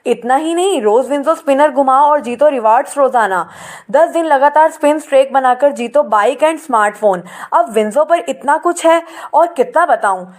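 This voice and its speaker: A woman's voice: sits high, normal speed. A woman presenting a scripted advertisement.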